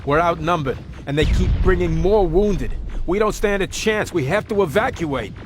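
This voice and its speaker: A man's voice: sits high, normal speed, close to an everyday voice. Man playing an anxious soldier over battle music. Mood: alarmed. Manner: deferential, military. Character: anxious, dutiful male soldier under fire. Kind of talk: video game battle acting.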